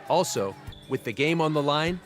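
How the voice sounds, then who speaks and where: A man reading a scripted tribute narration in a measured, formal way. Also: on the high side, animated. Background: music.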